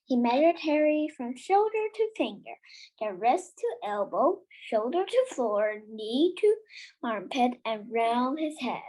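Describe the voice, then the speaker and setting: Slow, high-pitched child reading aloud. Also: very animated, a little mellow, low-quality recording. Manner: halting, with stumbles and repeated words. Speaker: student reader in a children's reading club.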